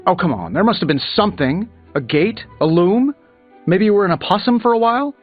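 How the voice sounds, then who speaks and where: An actor playing a cocky billionaire inventor superhero in a video game, glib and sarcastic with playful quips. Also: male voice, sits high, very animated, a little fast, phone-quality sound. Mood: curious banter. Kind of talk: video game character dialogue, quippy banter. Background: music.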